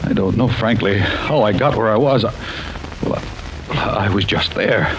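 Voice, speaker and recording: An actor playing a bewildered accused man, halting, anxious and pleading, in old-time radio style. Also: lowish voice, close to an everyday voice. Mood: dazed, halting. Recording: noisy old radio recording.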